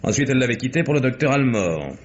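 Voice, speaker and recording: An actor playing a cool, sardonic private detective in a French radio crime drama, speaking in a dry, measured, needling way. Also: male voice, mid-range voice, very animated, lightly acted. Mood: dry, insistent. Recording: dull, faintly noisy old radio recording.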